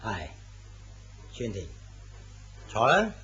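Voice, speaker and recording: An actor playing an arrogant, domineering company president in a radio drama. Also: male voice, lowish voice, huge pitch swings, extremely slow, sharp tone, slight background noise, heavily acted. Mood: commanding. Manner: curt, domineering. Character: arrogant middle-aged male company president. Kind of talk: Cantonese radio drama acting. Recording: dull lo-fi recording.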